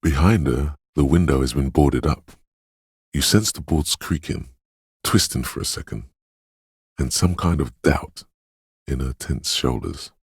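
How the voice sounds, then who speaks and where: Very deep, raspy, brooding inner voice. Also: male voice, measured pace, pauses often, no room sound, clean studio sound, heavily acted. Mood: eerie, melancholy. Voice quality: deep, gravelly, husky. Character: inner voice of intuition, brooding, dreamy, ominous and mysterious. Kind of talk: scripted second-person video game narration.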